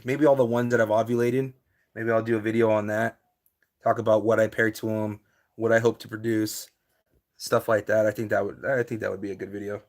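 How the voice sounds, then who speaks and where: Laid-back guy chatting on a live stream. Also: on the low side. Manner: laid-back, chatty, many fillers, reads chat aloud and answers it. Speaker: reptile breeder hosting a live stream. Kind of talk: casual live stream chat.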